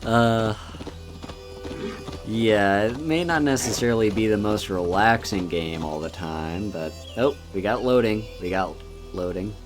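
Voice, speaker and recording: A man streaming a video game, chatting casually in a relaxed, soft-spoken and musing way as he plays. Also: fairly low pitch, unhurried. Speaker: VTuber streamer. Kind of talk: casual gameplay commentary. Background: game music.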